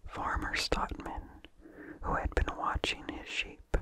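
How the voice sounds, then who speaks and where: A narrator reading a vintage horror story aloud in a breathy, unvoiced ASMR whisper, with measured, deliberate phrasing and soft mouth sounds close to the microphone. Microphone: close microphone with incidental mouth sounds.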